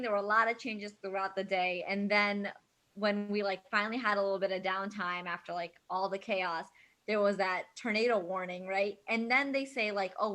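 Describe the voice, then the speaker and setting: A woman chatting casually and telling stories in an animated, emphatic way, peppering her talk with "like". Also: mid-range voice. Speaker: wedding videographer, guest vendor. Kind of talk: casual chat, animated storytelling.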